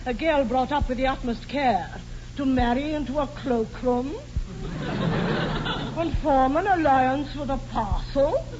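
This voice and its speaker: A woman's voice: sits high, unhurried. A woman playing a pompous, domineering old aristocratic aunt with an upper-class English accent in a 1940s radio comedy. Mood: imperious. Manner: pompous, emphatic, grandly disapproving. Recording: dull, noisy 1940s radio broadcast.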